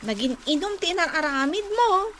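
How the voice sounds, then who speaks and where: A woman's voice: very high-pitched, sing-song, normal speed, very noisy. A woman voicing a young schoolchild in a comic radio drama, chirpy and teasing, with a childlike sing-song delivery and an Ilocano accent.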